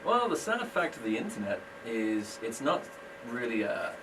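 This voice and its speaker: A man's voice: medium pitch, big pitch swings, normal speed. British man, radio guest, friendly explanations, far from the mic, noisy background. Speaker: guest, business owner. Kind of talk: casual radio interview.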